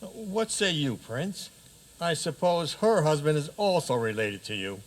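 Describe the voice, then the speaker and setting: A man playing a pompous, hurried frog king who presides as judge in a radio theater comedy, with regal, hesitant pronouncements. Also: a little high, lively intonation, rough recording. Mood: hurried, impatient.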